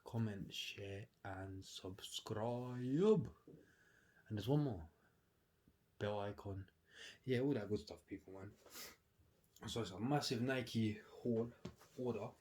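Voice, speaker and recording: Sniffly laid-back man, low voice. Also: measured pace, a little mellow. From United Kingdom. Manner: laid-back, chatty, slangy. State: sniffly with a cold. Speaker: vlogger. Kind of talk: casual unboxing chat to camera. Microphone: camera on a tripod. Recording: clear background noise.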